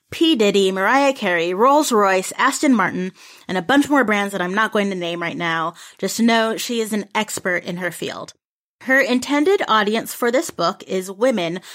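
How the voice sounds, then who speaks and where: A woman co-hosts a book podcast and recommends a new release. She sounds enthusiastic and speaks at a moderate, even pitch. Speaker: podcast co-host.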